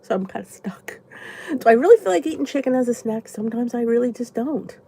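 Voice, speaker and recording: A woman's voice: on the high side, lively intonation, fast, mellow, husky. A congested woman vlogger with a stuffy nose, rambling chattily as she answers viewer comments in a casual live chat. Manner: chatty and rambling, thinks aloud.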